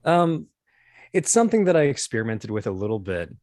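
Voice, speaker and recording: A man's voice: mid-range voice, big pitch swings, a little fast, darkish tone, no echo, studio quality. A man speaking as a podcast guest in casual conversation, hesitant and self-deprecating as he feels out his words. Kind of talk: casual interview chat.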